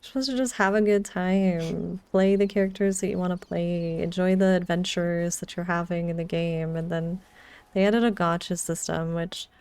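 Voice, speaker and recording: A woman's voice: lowish voice, fairly even intonation, normal speed, a little mellow, smooth, no echo. A woman streaming a video game, chatting casually with her viewers as she plays. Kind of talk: casual chat with viewers during gameplay.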